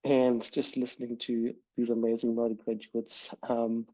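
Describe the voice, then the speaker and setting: A man hosting a podcast in a relaxed, rambling way, with many ums and restarts. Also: medium pitch, moderate pace. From immigrant to New Zealand. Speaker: podcast host. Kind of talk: casual podcast chat. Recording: dull lo-fi audio.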